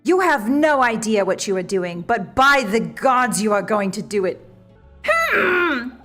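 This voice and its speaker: Female narrator for comic fantasy game: arch, theatrical, very expressive pitch, soft music behind. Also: normal speed. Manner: wry, arch, mock-grand storyteller. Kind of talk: theatrical comic visual-novel narration, second person.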